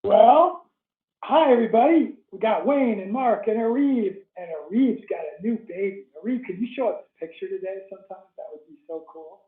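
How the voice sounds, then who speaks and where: A man's voice: high voice, animated, fairly quick. A man hosting an online webinar over a video call, chatty and enthusiastic as he welcomes the audience and guest. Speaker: webinar host. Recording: tinny phone-like line, echoey room.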